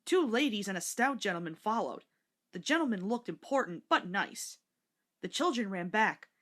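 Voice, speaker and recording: A woman's voice: medium pitch, expressive, normal speed, fairly bright tone. A woman reading a scripted children's story aloud as narrator.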